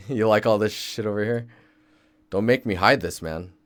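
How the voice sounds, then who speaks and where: Young man, deep voice, smooth, casual desk-mic stream tutorial, thinks aloud. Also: a little slow, no echo. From Seattle, Washington State, US. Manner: laid-back, thinks aloud while working. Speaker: game environment artist streaming. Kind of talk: casual live-stream tutorial, chatting with viewers.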